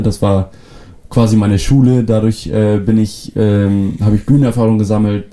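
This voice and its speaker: A young man with North Frisian as his first language, speaking in a very dark, mellow tone and a slightly low register. His manner is relaxed and thoughtful, full of small fillers and restarts as he answers questions. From Föhr, northern Germany. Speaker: musician guest. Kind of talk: casual interview answers.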